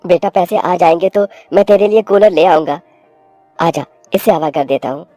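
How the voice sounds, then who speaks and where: A man's voice: high voice, normal speed, mellow, no room sound, faint music behind, close to an everyday voice. A man voicing a weary, earnest poor young husband and father in a children's cartoon, humble and apologetic. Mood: tired, caring. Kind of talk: Hindi children's cartoon story voice acting.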